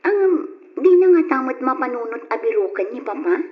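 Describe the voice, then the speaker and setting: A lively, teasing teenage daughter in an old radio play. She sounds eager and full of questions, and the sound is dull and lo-fi. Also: high voice, normal speed. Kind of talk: 1940s-style radio drama acting.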